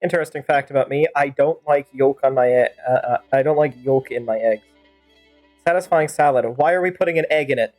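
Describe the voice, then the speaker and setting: A woman's voice: very deep voice, brisk, darkish tone. A woman game streamer improvising wry, teasing commentary and joking replies to the characters. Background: game music.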